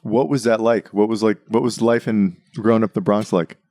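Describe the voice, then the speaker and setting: A man's voice: fairly low pitch, steady tone, a little fast, warm tone, dry recording. Curious male interviewer, dry sound. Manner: short, curious questions. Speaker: interview host. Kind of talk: interview questions.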